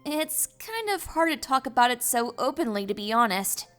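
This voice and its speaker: A woman's voice: fairly high pitch, very animated, moderate pace, fairly bright tone, soft background music. A woman voicing an awkward, bookish young female pony in a dramatized story reading, hesitant and easily flustered. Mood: nervous, evasive.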